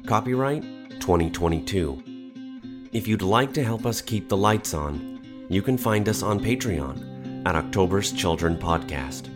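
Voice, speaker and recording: Casting: deep, low male voice for a scripted sign-off over loud guitar music. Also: animated. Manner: measured, ominous sign-off. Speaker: podcast producer reading the outro. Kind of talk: scripted outro.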